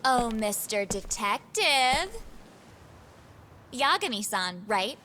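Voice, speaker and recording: Sly, high-voiced teenage troublemaker. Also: female voice, big pitch swings, slow, pushed and bright, a little hiss or hum, full character voice. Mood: sly, coaxing. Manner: teasing, mock-innocent. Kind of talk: video game cutscene acting. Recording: music behind the voice.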